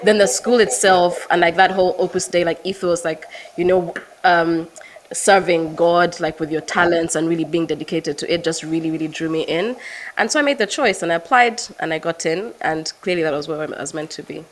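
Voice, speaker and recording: Brief: woman guest, lower voice, chatty and enthusiastic, faint noise behind. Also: fairly quick. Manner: gushing, fillers like "like". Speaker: podcast guest. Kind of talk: casual interview storytelling.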